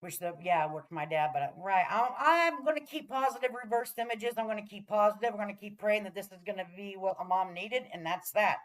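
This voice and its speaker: A woman's voice: mid-range voice, a little fast, hardly any pauses, low-quality recording. A woman hosting a casual livestream, chatting to viewers in a brash, profane way. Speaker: livestream host.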